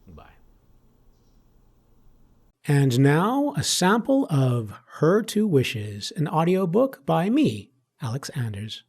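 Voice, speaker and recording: A man's voice: fairly high pitch, sing-song, slow pace, long pauses, a little mellow. A man talking casually and candidly as a vlogger, rambling through personal updates. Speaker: YouTube vlogger and author.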